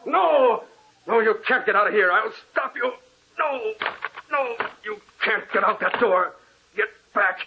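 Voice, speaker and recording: An actor playing a haunted, sleepless man losing his mind, speaking with feverish, halting repetition in old-time radio horror style. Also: sits high, gravelly, slight background noise. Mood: frantic terror. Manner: intense, halting, repeats words feverishly. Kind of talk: 1940s radio horror acting. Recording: muffled old radio recording.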